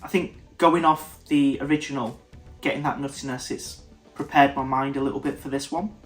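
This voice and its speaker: A man's voice: medium pitch, fairly even intonation, moderate pace, soft background music. A man with a congested, nasal voice, giving a casual whisky tasting review and often stopping to restart his sentences. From United Kingdom. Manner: thoughtful, stops and restarts mid-sentence.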